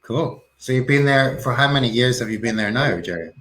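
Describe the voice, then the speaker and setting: A man's voice: mid-range voice, expressive, fairly quick, a bit bright. Easygoing male interview host. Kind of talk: casual video call interview.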